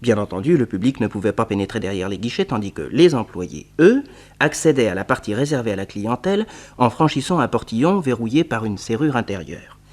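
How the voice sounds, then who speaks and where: A man gives dramatic narration for a radio detective serial. His mid-pitched voice is highly animated and vivid in its storytelling. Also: fairly quick, close to an everyday voice. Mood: explanatory.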